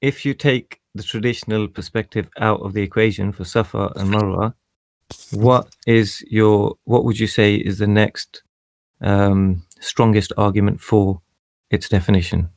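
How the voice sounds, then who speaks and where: A man's voice: fairly low pitch, fairly even intonation, measured pace, creaky, darkish tone, no echo. A man pressing his opponent in an online debate with pointed, probing questions. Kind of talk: casual debate.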